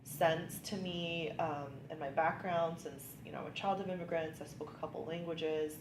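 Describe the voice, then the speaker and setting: A woman's voice: sits low, fairly even intonation, normal speed. A woman from California in her thirties, an immigration attorney chatting upbeat in an interview with many ums and likes. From California, United States. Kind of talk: casual interview conversation. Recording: echoey room, faint background hiss.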